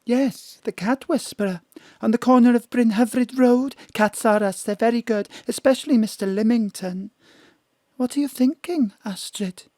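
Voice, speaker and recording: A woman's voice: a little high, normal speed, mellow, no echo, studio quality, close to an everyday voice. A woman playing a genteel, earnest lady client in an audio drama, with a prim manner. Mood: earnest, concerned. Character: anxious, genteel lady client fretting over lost pet.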